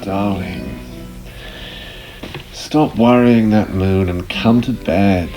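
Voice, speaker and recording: An actor playing a patient, gently teasing husband in a radio drama, languid and wry. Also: male voice, on the low side, drawn-out words, faint music behind, poor audio. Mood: sleepy, teasing.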